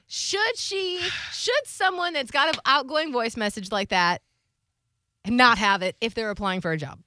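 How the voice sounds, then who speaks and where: Animated, bright-voiced woman co-hosting radio. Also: on the high side, a little slow, long pauses, dry recording. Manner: animated, conversational. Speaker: radio show co-host. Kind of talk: lively morning radio banter.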